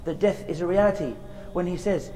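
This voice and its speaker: A man's voice: high-pitched, brisk, warm tone, long reverb tail, rough recording. A man in his thirties giving an earnest religious reminder outdoors in a graveyard, pleading with rhetorical questions, on a lapel mic. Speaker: preacher.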